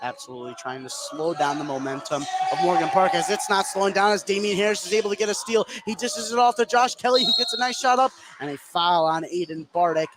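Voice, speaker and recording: A man with a fairly high-pitched voice calls a basketball game live as radio play-by-play. He speaks from courtside in a gym, with clearly audible ambient noise behind him. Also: fast, hardly any pauses. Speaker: play-by-play sports commentator.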